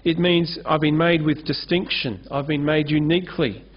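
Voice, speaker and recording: Pastor preaching at a lectern. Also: male voice, fairly high pitch, fairly even intonation, normal speed. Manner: earnest, gestures freely while preaching at a lectern. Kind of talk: sermon. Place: church stage with curtain backdrop. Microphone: lectern-mounted microphone. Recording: dull lo-fi sermon recording.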